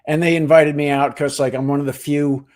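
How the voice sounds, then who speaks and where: A middle-aged man from New Jersey tells his stories at an easy pace. His tone pokes fun at himself, and he lets his voice fall into quiet asides as he finishes a thought. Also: brisk. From New Jersey, USA. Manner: relaxed storytelling, self-deprecating, trailing off into low asides. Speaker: guest, actor and Marine veteran. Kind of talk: casual interview chat.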